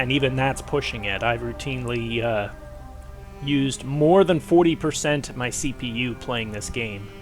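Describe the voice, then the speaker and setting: A man with a stuffy, cold-blocked voice, giving a rambling, casual commentary over gameplay as host, with many pauses and fillers. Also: medium pitch. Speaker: gaming channel host.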